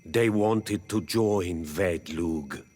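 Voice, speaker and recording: Game role: stoic male native elder statesman, low rough voice, unhurried, grave, weary. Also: a little mellow, exaggerated voice. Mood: resigned, grave. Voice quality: husky. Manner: grave, deliberate, halting. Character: weary, stoic native male village chief, resigned and wary. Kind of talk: video game dialogue acting.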